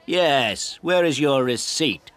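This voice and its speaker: A man's voice: mid-range voice, animated, slow, fairly bright tone, clean tone, pitched above the performer's own voice. A man voicing a shifty, blustering photographer in a story, dismissive and accusatory. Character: dismissive, angry male photographer, shifty and loud.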